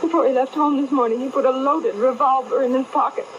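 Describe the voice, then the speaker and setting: An actress playing an anxious, defensive wealthy widow in an old-time radio drama, hesitant and formal. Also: female voice, sits high, steady tone, quick pace, lightly acted. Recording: dull AM radio reception, faint noise.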